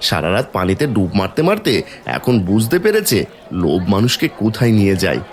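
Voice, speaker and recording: A man's voice: fairly low pitch, wildly swooping pitch, a little fast. A man voicing an indignant village father in a cartoon fairy tale, scolding and reproachful, with emphatic delivery.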